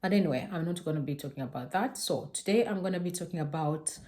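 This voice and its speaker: Low, mellow-voiced woman from Uganda. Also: fast talker. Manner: hesitant, many fillers and restarts. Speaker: vlogger. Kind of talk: casual to-camera vlog.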